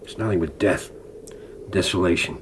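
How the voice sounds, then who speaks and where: An actor playing a gravely ill, defiant older man telling his life story in a grave, deliberate, dramatic way for radio drama. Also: sits low, fairly even intonation, background noise, natural voice. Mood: grave, intense.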